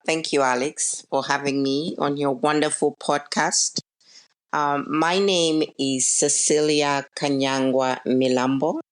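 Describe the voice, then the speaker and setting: A middle-aged woman from Zambia, a podcast guest, explaining things in a measured, conversational way with frequent fillers. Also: deep voice, fairly even intonation. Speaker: podcast guest, diplomat's spouse. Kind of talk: conversational interview.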